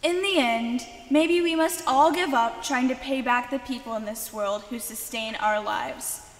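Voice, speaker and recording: Young woman, high voice, echoing church. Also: unhurried. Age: young adult. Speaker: youth reader giving benediction. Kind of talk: reading a benediction. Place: large church sanctuary, at a pulpit. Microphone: lectern microphone. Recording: echoey church hall with long reverb.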